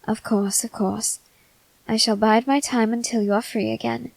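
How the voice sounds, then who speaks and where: A woman voicing a male demon servant in a scripted ASMR roleplay, speaking formally and courteously with soft, flirtatious teasing. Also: fairly high pitch, steady tone, moderate pace, vocal fry. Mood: courteous, composed.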